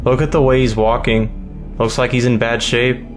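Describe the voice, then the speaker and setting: An actor playing a watchful American soldier on patrol, plain-spoken. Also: male voice, mid-range voice, flat delivery, moderate pace, music in the background, lightly acted.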